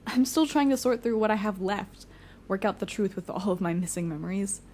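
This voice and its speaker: A woman's voice: medium pitch, fairly quick, darkish tone, a little hiss or hum, lightly acted. An actress playing a weary, frank young woman, a supportive friend, in casual audio drama dialogue. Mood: weary, firm. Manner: casual, candid.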